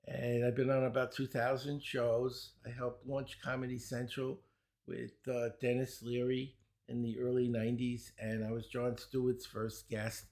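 A man's voice: mid-range voice, monotone, darkish tone. Older Brooklyn-accented man, joking guest, rattles off credentials. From Brooklyn, New York. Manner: wisecracking, chuckles at his own jokes, rapid run of credentials. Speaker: guest, speed-reading teacher. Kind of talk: casual interview answers.

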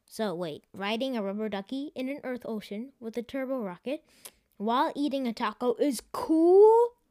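Woman as a stern, high-voiced spaceship computer. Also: lively intonation, normal speed, mellow, dry recording, studio quality, lightly acted. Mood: sceptical. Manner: scolding, matter-of-fact. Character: stern, sarcastic UFO computer companion.

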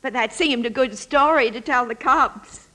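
Radio drama role: sly old former actress, high voice, folksy chatter, hungry for attention. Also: female voice, normal speed, sharp tone, poor audio. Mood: wistful, sly. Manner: chatty, folksy, coyly evasive.

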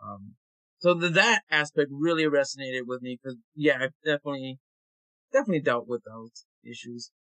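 A man, an actor, talking casually in an interview, relaxed and hesitant with frequent fillers. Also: mid-range voice, moderate pace, no echo, poor audio.

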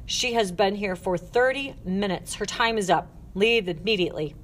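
A woman's voice: medium pitch, normal speed, close to an everyday voice. Audiobook matron played by a woman: stern, spiteful, accusing, commanding, noisy recording. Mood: curt, commanding. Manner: barking orders, scolding, accusing. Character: stern, spiteful, shrill older female head matron. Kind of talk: audiobook character voice.

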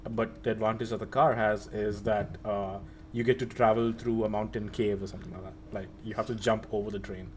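A man's voice: fairly low pitch, little pitch movement, a little fast, a little hiss or hum. A young man hosting a casual chat about video games, talking in a rambling way with many fillers and restarts. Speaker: podcast host.

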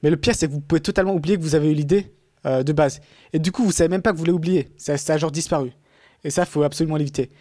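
A man's voice: fairly high pitch, very fast, warm tone, no room sound, clean studio sound. A man talking casually in a podcast conversation, rambling with many fillers and restarts.

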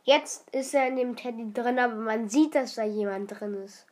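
A woman's voice: fairly high pitch, normal speed, over music. A woman commenting casually on a video she is watching, asking questions and hesitating with fillers. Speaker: reaction commentator.

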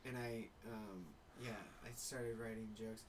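A man's voice: fairly low pitch, a little slow. Laid-back comedian man over background noise. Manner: relaxed storyteller, casual swearing. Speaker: stand-up comedian guest. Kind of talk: casual chat. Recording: noisy background.

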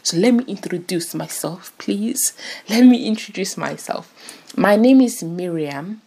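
A woman's voice: medium pitch, lively intonation, unhurried, creaky, dark tone, slightly rough. A woman in her twenties from France, chatting casually and laughing at herself as she introduces her podcast. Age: 20s. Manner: chatty, giggly, self-deprecating. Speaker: podcast host.

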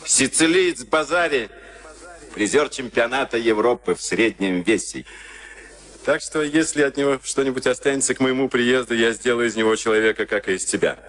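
An actor in a Soviet radio play, playing a sadistic, smug SS camp officer with a taunting, mock-polite manner. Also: male voice, mid-range voice, moderate pace, a bit bright, natural voice. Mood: commanding, taunting. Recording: noisy archival radio drama recording.

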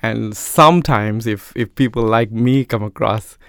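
A man from India whose first language is Telugu, speaking as a guest in a relaxed interview. His low voice has a gravelly yet smooth texture. He thinks aloud, pausing on fillers, in a dry, close recording. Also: dry recording. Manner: thoughtful, many fillers. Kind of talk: conversational interview.

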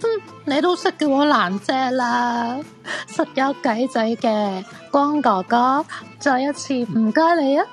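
A woman's voice: high voice, expressive, unhurried, faint music behind. A woman acting a cheeky, flirty fortune-teller in a Cantonese radio drama, teasing in colloquial Hong Kong speech. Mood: teasing, playful. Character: cheeky, flirty female fortune-teller friend, eager to see ghosts.

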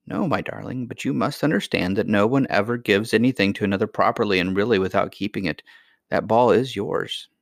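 A mysterious, kindly grandmother from a children's storybook, read by a male narrator. The voice sits fairly low and stays serene, gentle and patient, reassuring as it instructs. The sound is dry. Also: brisk, vocal fry, no echo. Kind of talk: acted dialogue in a children's fairy tale reading.

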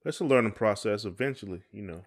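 A man's voice: lowish voice, expressive, quick pace. A man co-hosting a podcast, talking casually in folksy, colloquial phrasing. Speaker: podcast co-host. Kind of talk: casual conversation.

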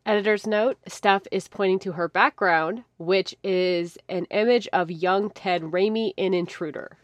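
A woman co-hosting and editing a podcast, chatting casually with blunt, profane, deadpan jokes over a home mic. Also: medium pitch, unhurried, dry recording. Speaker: podcast co-host and editor. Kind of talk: casual chat.

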